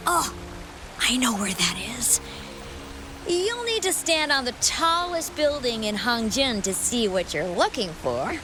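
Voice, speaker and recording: An eager, high-voiced townswoman. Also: big pitch swings, measured pace, music in the background. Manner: eager, admiring, helpful. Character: eager, helpful young townswoman. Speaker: travel guide writer townsperson. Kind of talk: game voice acting.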